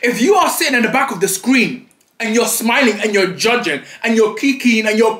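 Dramatic high-voiced young man, eating on camera. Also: roomy sound. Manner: dramatic, playful, animated, eating while talking. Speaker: vlogger. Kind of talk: mukbang vlog chat. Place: small indoor studio set at a table.

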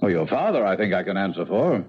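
A man playing a calculating gentleman fiancé in a radio drama, speaking with formal, silky politeness. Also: sits low, very animated, fast, a little hiss or hum. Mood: suave, guarded. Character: smooth, calculating gentleman fiancé of colonial times. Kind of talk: 1970s radio drama acting. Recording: dull 1970s radio recording.

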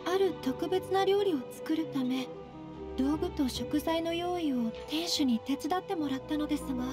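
A woman playing a gentle young noblewoman in an anime fantasy game, speaking formally and humbly. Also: high voice, moderate pace, over music, natural voice. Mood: apologetic, explaining. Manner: formal, humble, soft-spoken politeness. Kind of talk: Japanese anime game voice acting.

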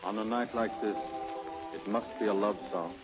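A man's voice: lowish voice, fairly even intonation, normal speed, lightly acted. A man playing a suave, amorous boss in 1930s radio drama style. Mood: tender, amorous. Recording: muffled 1930s radio recording, faint music.

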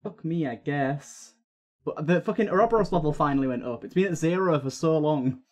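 A man's voice: on the high side, fairly quick. A man hosting a gaming video with casual, sweary, grumbling commentary.

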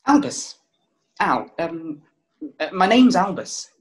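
A man's voice: sits high, big pitch swings, slow pace, heavily acted, low-quality recording. A young actor playing an anxious eleven-year-old boy with a hesitant, worried way of talking, in a stage play read on video call. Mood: awkward. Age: young adult. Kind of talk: stage drama table read. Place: small room at home, video call.

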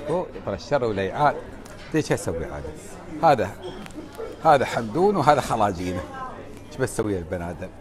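A man in his forties with an Emirati Arabic accent, chatting casually into a phone on an earphone mic in a hotel room, animated and emphatic. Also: fairly low pitch, fast, long pauses, a crowd in the background. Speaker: social media vlogger. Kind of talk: casual selfie vlog chat. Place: indoors by a window, hotel room. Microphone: wired earphone mic, phone at arm's length.

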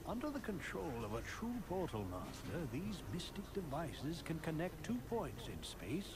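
A man voicing a solemn, wise mentor in a fantasy video game, measured and grand. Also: a little high, wildly swooping pitch, very noisy. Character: solemn, wise male mentor of portal masters. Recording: game music behind the voice.

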